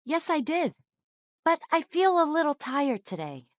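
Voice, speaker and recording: A voice actress plays a friendly young woman in an English textbook dialogue. Her voice is high and recorded very dry. The delivery is scripted for learners. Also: sing-song, unhurried, no room sound. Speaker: voice actor in English lesson dialogues.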